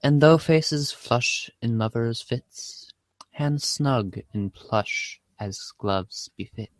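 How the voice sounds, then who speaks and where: A man's voice: mid-range voice, takes it slowly, dry recording, natural voice. A man reading the part of an arch, teasing, bookish teenage girl in a comic script reading, mock-formal and poetic.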